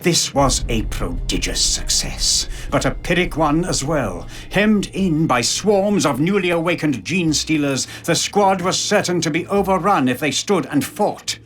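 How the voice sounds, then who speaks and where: A man's voice: a little high, expressive, a little slow, hardly any pauses, fairly bright tone, faint music behind. A man delivering dramatic scripted narration for a video game in a solemn, portentous storytelling manner.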